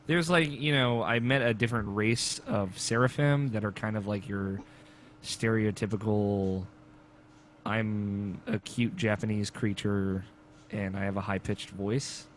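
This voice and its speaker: A man's voice: on the low side, fairly even intonation, unhurried, slight background noise. A man hosting a podcast from a small home studio, talking laid-back and casually about games, swearing now and then. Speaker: podcast host. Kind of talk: casual gaming chat. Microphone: desk mic on arm, headphones.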